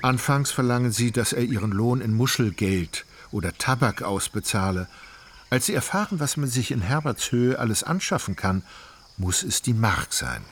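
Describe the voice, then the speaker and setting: An actor playing a wry, all-knowing narrator, giving measured literary narration in a radio play. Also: male voice, medium pitch, expressive, a little hiss or hum, natural voice. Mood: detached.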